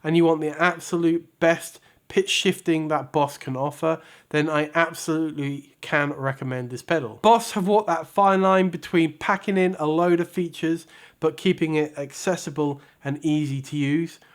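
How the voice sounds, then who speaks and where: A man hosting a review channel, giving a scripted product review with measured, emphatic presenter delivery. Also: fairly high pitch, fairly even intonation. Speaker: host of a guitar pedal review channel.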